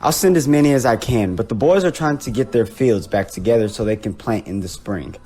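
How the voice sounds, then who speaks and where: A man playing a stingy, condescending landowner with a husky voice in an audio drama, brusque and dismissive. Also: medium pitch, a little fast, warm tone, lightly acted. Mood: grudging. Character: haughty, callous male landowner, stingy and dismissive.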